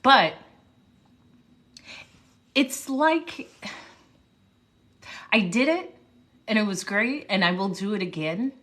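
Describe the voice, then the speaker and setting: A woman speaker chatting casually with viewers on a livestream, reflective and unhurried, pausing and restarting often. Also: medium pitch, animated, fairly bright tone. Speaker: livestream host, motivational speaker. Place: home livestream. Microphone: phone or webcam mic.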